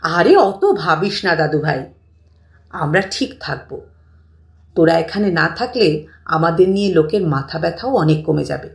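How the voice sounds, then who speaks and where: A woman's voice: sits low, expressive, a little slow, creaky, pauses often, full character voice. A woman voicing an affectionate, frank elderly grandmother in a story, tender and coaxing toward her grandson. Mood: reassuring. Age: older. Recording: roomy, distant mic, music bed.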